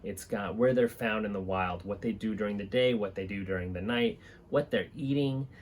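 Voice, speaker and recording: A man's voice: lowish voice, normal speed. A man in his thirties hosting a hobby video, reading aloud and talking informally to camera. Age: 30s. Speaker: host of a reptile-keeping channel, reading books aloud. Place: small indoor room with reptile enclosures and a fan. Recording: clear background room noise.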